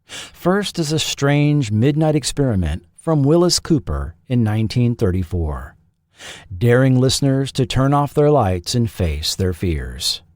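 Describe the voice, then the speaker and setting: Male documentary host, slow and dramatic, swooping intonation, close dry recording. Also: medium pitch, sing-song, a little mellow, no echo. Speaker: host and narrator of a history show. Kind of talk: scripted documentary narration.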